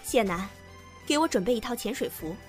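A woman's voice: on the high side, lively intonation, fairly quick, faint music behind, natural voice. An actress playing a confident, bossy, sociable woman, the elder among friends, in a Chinese audio drama. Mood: teasing, bold.

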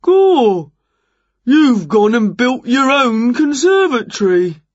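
A woman's voice: mid-range voice, animated, takes it slowly, dry recording, dull audio. A woman voicing a casual working man, a matey builder in a children's story, with colloquial, offhand talk. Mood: breezy.

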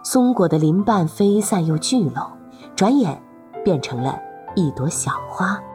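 A woman's voice: on the low side, big pitch swings, measured pace, over music. A woman narrating a serialized fantasy audio drama as its host, in a dramatic scripted storytelling style.

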